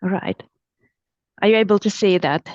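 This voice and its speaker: A woman's voice: mid-range voice, a little slow, darkish tone, no echo, dull audio. Woman on a dry video call. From Finland. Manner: flustered by tech trouble, murmuring to herself. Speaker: startup founder. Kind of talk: casual talk on a video call.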